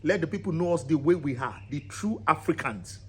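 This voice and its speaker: A man's voice: a little high, lively intonation, brisk, fairly bright tone, breathy edge. A man, founder of a cultural channel, giving an impassioned monologue to camera in an emphatic, rousing way. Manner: emphatic and rousing, builds repetitive lists.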